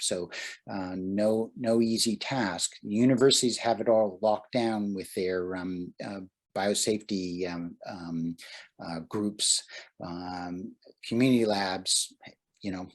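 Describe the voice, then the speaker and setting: Presenter, male, husky low voice, halting casual delivery, video call audio. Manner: hesitant, many fillers and restarts. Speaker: workshop presenter. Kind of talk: casual online talk.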